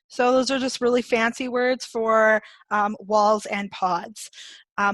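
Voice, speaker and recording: A woman's voice: a little high, fairly bright tone, no echo. A woman with a Canadian accent presenting a web seminar over a video call in a friendly, explanatory way. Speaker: webinar presenter.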